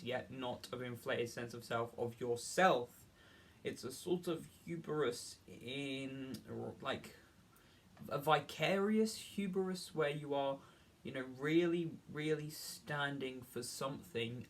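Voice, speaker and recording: A man giving an earnest, unscripted opinion monologue in a halting, rambling way, often repeating and rephrasing as he argues. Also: mid-range voice, a bit bright.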